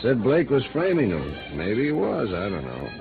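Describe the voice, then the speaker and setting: An actor playing a disillusioned, hard-drinking American newspaper reporter in 1950s radio noir, sardonic, bitter and world-weary. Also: male voice, mid-range voice, huge pitch swings, moderate pace, gravelly, narrow, tinny audio. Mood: cynical. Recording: band-limited old radio recording with music.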